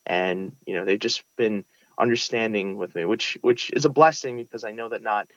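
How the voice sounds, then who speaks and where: A man's voice: fairly low pitch, moderate pace, no echo, dull audio. A young man from Brooklyn, earnestly answering interview questions with many restarts and fillers. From United States (Brooklyn). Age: young adult. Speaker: interview guest, valedictorian. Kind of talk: casual interview.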